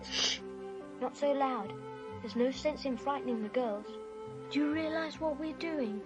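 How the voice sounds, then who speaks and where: A woman's voice: sits high, measured pace. A woman playing a sullen, suspicious, contrary schoolboy in a children's fantasy drama. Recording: music behind the voice.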